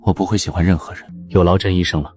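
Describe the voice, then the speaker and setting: A man's voice: deep voice, steady tone, quick pace, a little mellow, husky, faint music behind. A man playing an aloof, formal young man from ancient times in an audio drama, with a cool, terse delivery. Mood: cool, detached. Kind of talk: Chinese audio drama dialogue.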